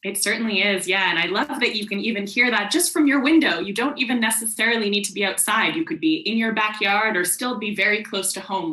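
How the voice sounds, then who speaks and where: A woman's voice: medium pitch, sharp tone. A woman, hosting a casual interview for children on a video call. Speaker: host, interviewer. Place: bedroom at home, indoors. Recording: roomy, echoey video call.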